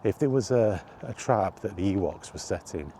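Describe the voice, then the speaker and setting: A middle-aged man chatting casually and reminiscing in a halting, thinking-aloud way, in short rambling phrases. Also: lowish voice, lively intonation, dark tone, slight background noise. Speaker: video creator and host. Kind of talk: casual reminiscing monologue about retro games. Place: outdoors while walking.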